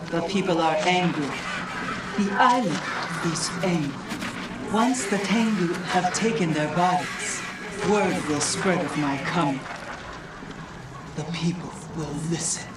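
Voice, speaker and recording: A woman's voice: lowish voice, unhurried, close to an everyday voice. A woman playing a menacing, wrathful spirit leader in a game, speaking like a prophet in solemn threats. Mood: ominous, righteous. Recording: distant, echoing voice, heavy background noise.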